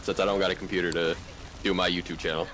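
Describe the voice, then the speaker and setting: A man's voice: low-pitched, flat delivery, fast talker, a bit bright. A young man chatting casually on a gaming stream, easygoing and encouraging, offering friendly advice. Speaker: stream guest. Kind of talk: casual voice chat.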